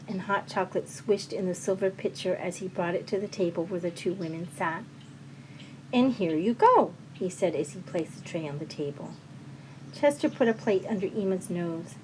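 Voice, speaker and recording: Woman narrating fiction, medium pitch. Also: darkish tone, rough recording. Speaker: storyteller. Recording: faint background ambience noise.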